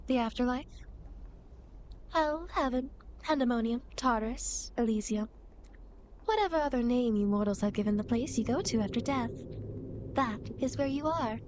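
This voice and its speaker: A woman's voice: on the high side, normal speed, long pauses, darkish tone, a little hiss or hum, natural voice, poor audio. A woman playing a playful, teasing demon girl who guides dead souls, in a coy, dramatic ASMR roleplay. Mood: grandly matter-of-fact. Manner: coy, mischievous, mock-sympathetic, dramatic. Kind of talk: ASMR roleplay, acted monologue to the listener.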